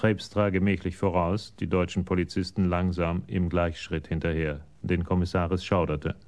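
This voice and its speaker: A man giving sober, measured narration of a scripted crime story for a radio drama. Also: deep voice, little pitch movement, a little mellow.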